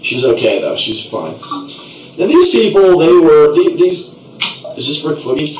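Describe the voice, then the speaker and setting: A man spins an improvised comic tale in a playful, theatrical way. His fairly high voice moves up and down in a lively way as he rambles. It sounds echoey and distant from the mic, with water noise around him. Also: a little slow. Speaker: puppet show narrator. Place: bathtub in a bathroom.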